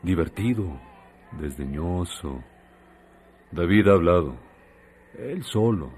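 Man, dramatic scripted narration, extremely low voice, solemn, unhurried, music behind. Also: lively intonation, a little mellow.

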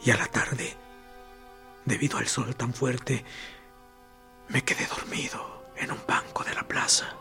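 An actor playing a wistful boy who recalls childhood longings in a hushed radio-play monologue. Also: mid-range voice, little pitch movement, unhurried, creaky, pauses often, in a whisper. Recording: music bed under the voice.

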